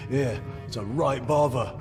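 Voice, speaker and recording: A man playing a chatty, scatterbrained orc braggart in a video game cutscene, gravelly and theatrical, rambling with comic asides. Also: medium pitch, a little slow, big-room sound, loud music behind the voice, natural voice. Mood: breezy.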